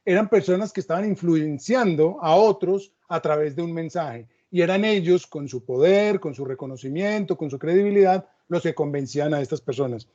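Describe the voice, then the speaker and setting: A man moderates a panel discussion, turning the talk with reflective remarks and short stories. His voice is high for a man yet soft and mellow. The recording sounds dull and lo-fi. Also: normal speed, few pauses. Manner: reflective, storytelling asides.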